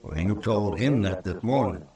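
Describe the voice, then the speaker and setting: A man's voice: low voice, lively intonation, normal speed, warm tone, highs cut off. An actor playing a stubborn, plain-spoken frontier townsman with an uneasy conscience in an old-time radio western, with an American accent. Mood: stubborn, challenging. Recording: roomy, distant vintage radio recording.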